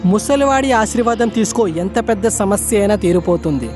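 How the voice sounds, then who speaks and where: A performer voicing a poor, hungry old beggar man in an animated storybook, speaking gently and pleading. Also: medium pitch, brisk, close to an everyday voice. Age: older. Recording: voice over loud background music.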